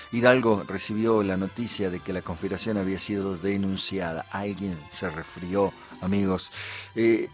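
A man with an Argentine accent hosting a radio show, casually telling a history story to his listeners with many fillers, restarts and asides. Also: on the low side. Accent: Argentine Spanish. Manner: chatty, frequent fillers and restarts, asides to the audience. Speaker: radio host.

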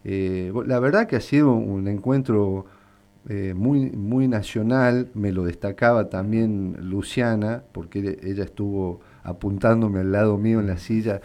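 A man from Catamarca, Argentina, with a Catamarca accent, a singer guesting on a radio interview who talks in an unhurried way, with many hesitations. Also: fairly low pitch, a little mellow. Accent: Catamarca Argentine Spanish. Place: radio studio.

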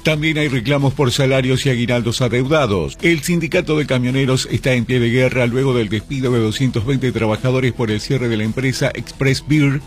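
A man's voice: mid-range voice, brisk, hardly any pauses. A man with an Argentine accent reading scripted radio news as a presenter. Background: music bed.

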